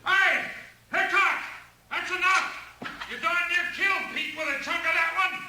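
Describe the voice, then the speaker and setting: An actor playing a surly, bitter, blustering western outlaw in a 1950s radio drama. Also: male voice, very high voice, fairly even intonation, a little slow, bright, forceful tone, exaggerated voice. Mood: defiant, strained. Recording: echoey, noisy vintage radio recording.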